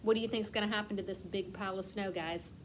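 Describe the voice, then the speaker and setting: A woman's voice: mid-range voice, rough recording. A woman reading a picture book aloud like a kindergarten teacher, stressing words expressively and putting questions to the children. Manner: asks questions and pauses as if children answer; expressive storytelling with slowed, emphasised words. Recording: clear background noise.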